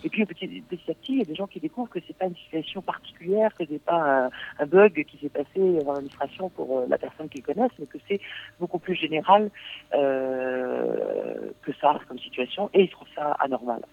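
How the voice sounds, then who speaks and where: A man speaking as a radio guest, pausing often with filler sounds and restarting his sentences. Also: a little high, sing-song, gravelly, narrow, tinny audio. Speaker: guest activist. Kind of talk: radio interview talk.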